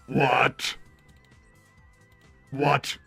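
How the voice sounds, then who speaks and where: A man's voice: mid-range voice, monotone, drawn-out words, gravelly, pushed and bright, slightly rough, soft background music, full character voice. A man voicing an earnest, forlorn robot son with stiff formal phrasing and a tendency to stammer, in a melodramatic cartoon roleplay. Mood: flustered, wounded. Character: earnest, forlorn robot son seeking his father's respect.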